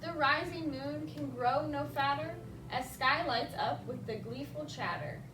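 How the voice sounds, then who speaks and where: A woman reading a rhyming picture book aloud as a children's librarian, expressive and speaking directly to young listeners with little asides. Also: sits high, unhurried, noisy background. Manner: expressive, addresses children directly with simple questions. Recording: roomy echo, distant mic, music behind.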